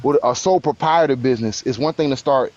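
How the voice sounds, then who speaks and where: A man's voice: mid-range voice, quick pace, darkish tone, lo-fi sound, slight background noise. A man in his late twenties on a live stream panel, explaining his views earnestly with examples and frequent restarts. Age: 20s. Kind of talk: casual panel talk. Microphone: live stream call.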